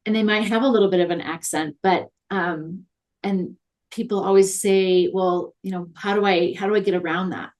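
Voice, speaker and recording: A woman's voice: medium pitch, fairly even intonation, normal speed, darkish tone. A woman guest in an interview, talking in a chatty, upbeat way with many fillers. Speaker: guest consultant on virtual assistants. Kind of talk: conversational interview.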